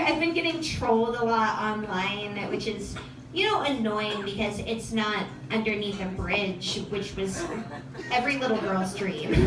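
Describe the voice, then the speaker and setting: A young woman with a lisp performing a stand-up comedy set, wry and self-deprecating, with halting restarts. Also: a little high, background noise. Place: comedy club stage with a laughing audience. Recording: echoey room, distant mic, loud crowd.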